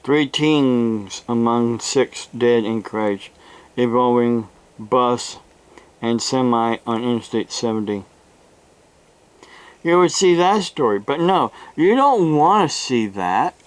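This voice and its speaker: An older man with an American accent delivers a rambling monologue, halting and deliberate, often repeating his phrases. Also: mid-range voice, lively intonation, smooth, rough recording. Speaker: evangelist vlogger.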